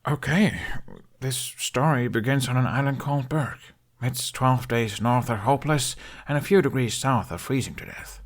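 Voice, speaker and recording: A man's voice: medium pitch, moderate pace, voice pushed up, natural voice. A man voicing an awkward, earnest young male misfit who tells stories with wry humour, in an audiobook. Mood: wry storytelling. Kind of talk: audiobook character voice.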